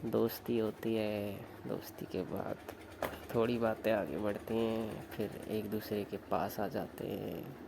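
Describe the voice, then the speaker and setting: A man playing a village lover coaxing his sister-in-law on the phone, with a Rajasthani accent and a slow, suggestive way of talking. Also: lowish voice, flat delivery, vocal fry, noisy background. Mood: earnest, suggestive. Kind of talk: staged phone call, casual flirtatious chat.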